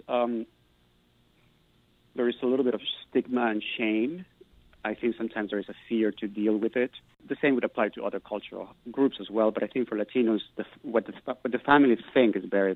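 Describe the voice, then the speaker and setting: A man's voice: medium pitch, fairly quick, dry recording, narrow, tinny audio. A middle-aged man from Peru, giving earnest, thoughtful interview answers and restarting words as he searches for them. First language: Spanish. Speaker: guest, Spanish professor.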